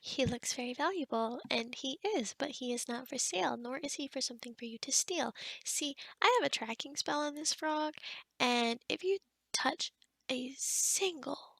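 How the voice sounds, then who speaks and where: A woman playing a kind, awkward young forest witch in an ASMR roleplay, speaking softly and hesitantly, often close to a whisper. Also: sits high, moderate pace, vocal fry, no room sound. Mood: sternly threatening. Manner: hesitant, rambling, softly spoken with whispery stretches. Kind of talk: ASMR roleplay addressed to the listener.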